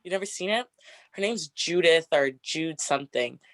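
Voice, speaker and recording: Deep raspy young woman, chatty. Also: lively intonation, normal speed, dry recording. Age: 20s. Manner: chatty, frequent "like" fillers, laughs often. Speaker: interview guest. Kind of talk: casual get-to-know-me interview.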